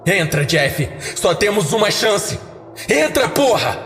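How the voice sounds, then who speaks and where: A man's voice: high-pitched, very animated, slow pace, roomy sound, faint music behind, pitched above the performer's own voice, full character voice. Man acting a panicked young man, voice raised. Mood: panicked, shouting. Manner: urgent, swearing, forceful delivery. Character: panicked, foul-mouthed young man fleeing a killer. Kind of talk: audio drama horror acting.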